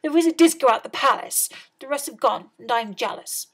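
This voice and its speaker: A woman voicing a bratty, demanding young Cinderella in a shrill, whiny way for a storybook reading. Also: normal speed, slightly rough.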